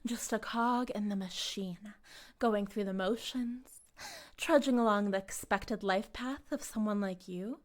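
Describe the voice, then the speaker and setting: A woman's voice: mid-range voice, close to an everyday voice. A woman playing a charismatic, smugly menacing cult leader in a dramatic audio roleplay, speaking in a pompous, articulate and condescendingly persuasive way. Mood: cold contempt.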